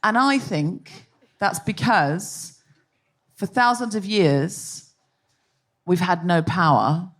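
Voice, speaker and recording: A woman's voice: on the low side, lively intonation, takes it slowly. Woman hosting a live comedy podcast. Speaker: podcast host. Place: live theatre stage before an audience.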